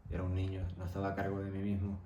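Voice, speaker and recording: A young man telling a candid personal story in a reflective, halting way. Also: low-pitched, completely flat delivery, mellow, lo-fi sound. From Venezuela-born, grew up in Tenerife, Spain. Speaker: vlogger. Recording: roomy echo, faint background noise.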